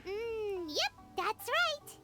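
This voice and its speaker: A woman voicing a tiny, cheerful, childlike fairy companion in an anime video game, squeaky and perky. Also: sing-song, extremely slow, full character voice. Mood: chirpy. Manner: refers to self in third person, perky. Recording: loud game music behind.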